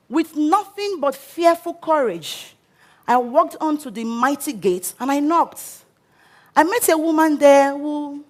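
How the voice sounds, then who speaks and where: Woman, Nigerian English accent, inspirational stage talk, expressive, dramatic delivery, fairly high voice. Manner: expressive, dramatic, acts out quotes. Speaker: speaker. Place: auditorium stage.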